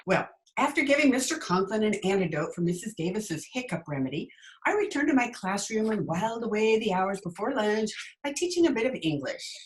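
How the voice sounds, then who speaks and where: A wisecracking high school teacher, played by a woman in an old radio comedy. Her dry, sardonic lines ride on very animated pitch, with clear noise in the remote recording. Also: fairly quick, natural voice. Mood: narrating drily. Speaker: lead character and narrator. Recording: noisy remote video-call recording.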